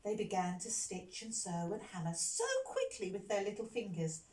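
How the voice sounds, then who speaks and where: A woman reading a children's storybook expressively, with dramatic pauses and shifts in delivery. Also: mid-range voice, dark tone. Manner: dramatic pauses, exclaiming and dropping into whispers. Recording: roomy echo, distant mic, faint noise.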